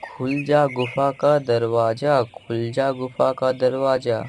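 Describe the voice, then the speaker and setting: A man's voice: mid-range voice, little pitch movement, slow pace, heavy background noise, natural voice. A man voicing a greedy, eager and anxious male crow in a children's cartoon story. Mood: commanding.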